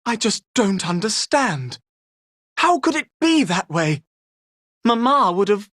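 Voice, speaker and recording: An actor playing an earnest, heartbroken young man in an animated mystery game, speaking haltingly over music. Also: sits high, no room sound, clean studio sound, exaggerated voice. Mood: distraught. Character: earnest, heartbroken young man sworn to avenge his family. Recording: clear music behind the voice.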